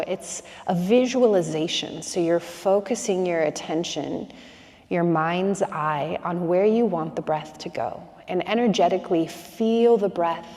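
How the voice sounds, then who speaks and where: Calm woman breath coach, slightly low voice, soothing and unhurried, reverberant space. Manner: slow, soothing, calm instructions with long pauses. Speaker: breathwork instructor. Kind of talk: guided breathing meditation.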